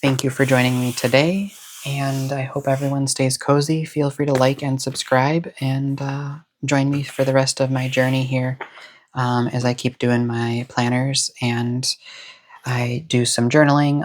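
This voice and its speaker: A person chatting casually as the host of a cozy hobby vlog, with a soothing voice and a chill, rambling, encouraging manner. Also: mid-range voice. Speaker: host of a planner and stationery channel. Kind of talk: casual cozy chat to camera.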